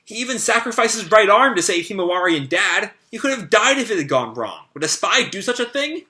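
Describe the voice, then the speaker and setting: A man reading aloud a manga page, voicing a hotheaded teenage boy ninja who shouts and protests. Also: sits high, sing-song, fairly quick, voice pushed up, full character voice. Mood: protesting. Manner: shouting, indignant.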